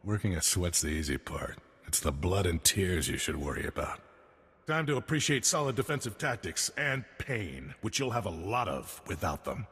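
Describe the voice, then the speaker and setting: Deep, raspy veteran combat trainer. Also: male voice, very animated, moderate pace, fairly bright tone, exaggerated voice. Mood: instructive. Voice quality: husky, raspy. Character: gruff, patient male armor trainer. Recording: long reverb.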